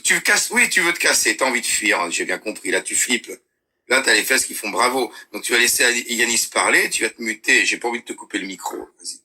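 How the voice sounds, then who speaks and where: Mocking man with a bright voice. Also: medium pitch, lively intonation, brisk, a little hiss or hum. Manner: mocking, bossy, trails off quietly. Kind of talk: heated live-stream debate.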